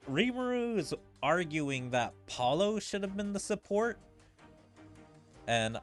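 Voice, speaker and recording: A man giving casual, rambling gameplay commentary as a streamer, speculating as he goes with plenty of filler words. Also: a little high. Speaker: video game streamer. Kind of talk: casual gameplay commentary with dialogue read aloud. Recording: game music behind the voice. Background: video game music.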